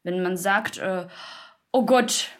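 A woman who is not a native speaker, giving her personal opinion in an outspoken, halting way. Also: lowish voice. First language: not German.

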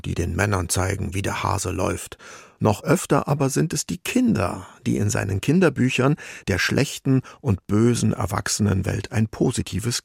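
Male radio presenter, low and heavily creaky, close dry sound, scripted narration. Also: darkish tone, dry recording. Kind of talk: scripted narration for a music feature.

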